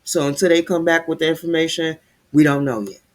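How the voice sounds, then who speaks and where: A man's voice: on the high side, steady tone, a little fast. A man giving heated, sarcastic commentary while reading news aloud, stumbling over words as he reads.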